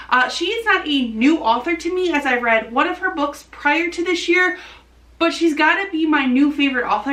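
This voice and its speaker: Woman book vlogger, really high voice, chatty and keen, sounds echoey and a bit far from the mic. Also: a bit bright. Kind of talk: casual book review chat to camera. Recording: roomy echo, distant from mic.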